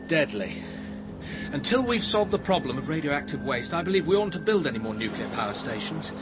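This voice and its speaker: A grave, conscientious young scientist in a radio play. His voice sits a little high and he speaks directly and solemnly, over clearly audible background sound. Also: very animated, brisk, over music. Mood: grave, resolute. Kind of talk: radio drama acting.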